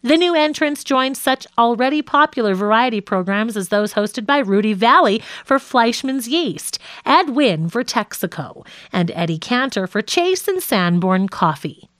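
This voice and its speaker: Woman, audiobook narrator, higher voice, animated intonation, measured articulate delivery, scripted nonfiction. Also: dry recording.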